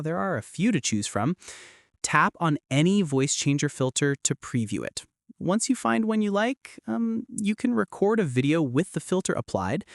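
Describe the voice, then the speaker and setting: A man narrating a scripted how-to tutorial as its host, giving clear step-by-step instructions. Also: fairly high pitch, dry recording, clean studio sound.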